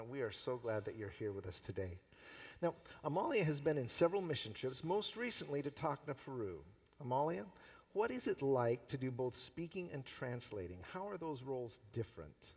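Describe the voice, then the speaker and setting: A man's voice: mid-range voice, big-room sound. A middle-aged man hosting an interview on a convention stage, affirming and encouraging his guest. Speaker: interviewer host. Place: large convention hall stage.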